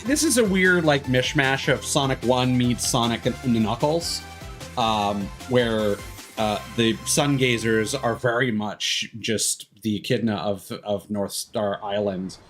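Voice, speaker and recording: A man's voice: medium pitch, measured pace, fairly bright tone. A man giving casual, rambling gameplay commentary as a streamer, hesitant and drifting into tangents. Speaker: video game streamer. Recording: music behind the voice.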